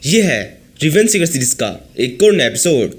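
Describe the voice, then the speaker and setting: A hoarse man narrating a comic story recap as the channel's host. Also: on the high side, very animated, moderate pace. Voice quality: husky.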